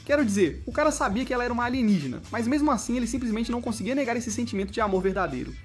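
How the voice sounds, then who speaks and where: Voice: medium pitch, very fast. A Brazilian narrator reading a scripted cartoon video essay. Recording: music behind the voice. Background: background music.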